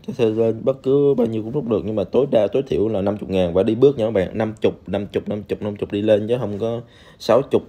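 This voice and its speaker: Male, low warm voice, casual voice-over for a game video, lots of fillers. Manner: chatty, addresses viewers directly, many fillers. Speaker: game reviewer. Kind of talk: casual screen-recorded game walkthrough. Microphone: voice-over on screen recording.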